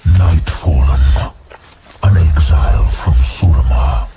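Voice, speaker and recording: Ancient demon master: booming bass, extremely slow, impatient and menacing, over prominent music. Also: male voice, exaggerated voice. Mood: commanding. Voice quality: gravelly, booming, rattling. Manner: slow, domineering, drawn-out words. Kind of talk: fantasy audio drama.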